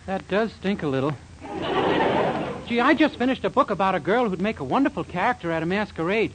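A man's voice: high voice, animated, brisk. An actor playing a naive, bashful young man with an American accent, earnest and guileless, in 1940s radio sitcom style. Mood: sheepish, earnest. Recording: hissy 1940s radio recording.

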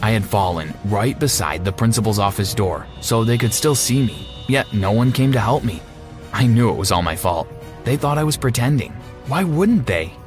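A man's voice: on the low side, lively intonation, normal speed, music in the background, close to an everyday voice. A man playing a repentant teenage bully, narrating his own story in a dramatic, earnest first-person style. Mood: anguished.

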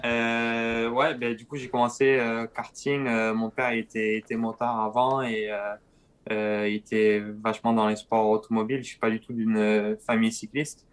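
A young man with a slight southern French accent, answering interview questions in a hesitant way with many pauses and fillers. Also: medium pitch, flat delivery, clear, resonant tone. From southern France. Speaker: guest, pro cyclist.

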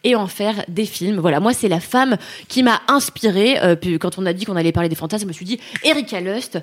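A woman co-hosting a podcast in casual chat, enthusiastic and chatty, quick to laugh. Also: medium pitch, slight background noise. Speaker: podcast co-host.

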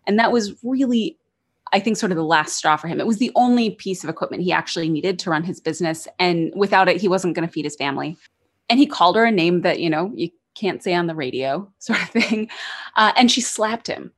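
A woman guest on a podcast interview, telling the story in a lively, wry way. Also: lowish voice, fairly quick. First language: English.

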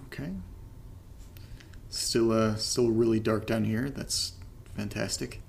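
A man's voice: fairly low pitch, steady tone, gravelly, a little mellow, slight background noise, heavily acted. A man playing an uneasy homeowner in a found-footage horror audio drama, talking casually and hesitantly as if keeping a spoken diary. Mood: wary, puzzled. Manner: hesitant, halting, casual muttering to listeners. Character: uneasy man documenting his new house, casual, nervous.

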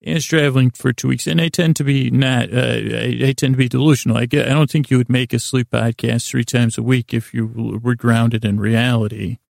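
Gravelly, droning American man rambling softly. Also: medium pitch, steady tone, no room sound, clean studio sound. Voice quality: gravelly, creaky. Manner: halting, self-deprecating, trails off and circles back. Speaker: sleep podcast host. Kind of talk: rambling, meandering bedtime monologue.

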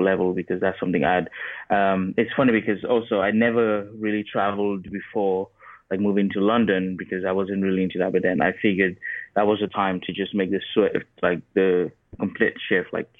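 A man's voice: low-pitched, no echo. A man, giving casual, conversational interview answers as a podcast guest over a remote call. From Cameroon. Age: 20s. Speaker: podcast guest, software engineer and photographer. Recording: narrow tinny phone-like line.

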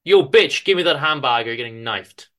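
A man's voice: medium pitch, animated, brisk, pushed and bright. A man voicing an aggressive, impatient street mugger with a threatening, barking delivery in a story. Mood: menacing.

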